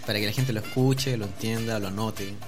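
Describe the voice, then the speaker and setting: A man's voice: fairly low pitch, steady tone, a little fast, over music. A man with a Chilean accent co-hosting a radio show with casual banter. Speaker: radio co-host.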